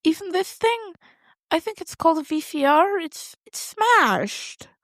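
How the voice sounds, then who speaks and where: Woman playing kind-hearted little girl, high voice, anxious and faltering, audio story. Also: animated, a little slow, fairly bright tone, no echo, full character voice. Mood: worried. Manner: fretful, hesitant. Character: worried, soft-hearted young girl.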